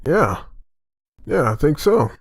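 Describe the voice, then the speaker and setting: A man's voice: on the high side, very animated, slow pace, no room sound, studio quality, exaggerated voice. A man playing an earnest, protective father who leads survivors in an amateur comic dub. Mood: proud, tender.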